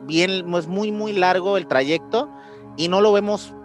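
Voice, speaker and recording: High-pitched Mexican man, slangy. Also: unhurried, soft background music. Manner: slangy, repeats words as he thinks aloud. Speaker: podcast co-host. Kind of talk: casual conspiracy podcast chat.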